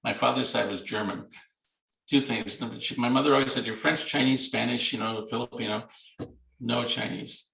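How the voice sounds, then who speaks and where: A man's voice: medium pitch, fairly even intonation, moderate pace, vocal fry, fairly bright tone. An older man chatting casually as an author guest on a video call. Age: older, around 70s. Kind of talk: casual chat. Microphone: video call microphone at a distance. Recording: roomy, distant video call sound.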